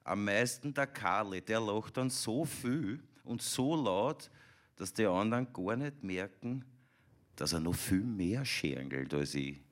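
A man reading a poem in the voice of a limping young boy, in slow, thick dialect. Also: fairly low pitch, animated. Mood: rueful. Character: limping young boy telling his woes. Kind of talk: poetry reading.

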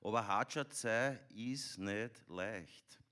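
A man reading a poem in the voice of a limping young boy, in slow, thick dialect. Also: fairly low pitch, sharp tone, voice pushed up. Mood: rueful. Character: limping young boy telling his woes. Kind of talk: poetry reading.

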